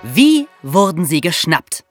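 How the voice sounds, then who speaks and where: A woman podcast co-host with a slightly low voice and very animated intonation. She explains things in everyday language, as if telling a story to young listeners. The sound is dry and close. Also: takes it slowly, no echo, soft background music. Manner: explanatory, colloquial. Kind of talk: conversational true-crime storytelling for young listeners.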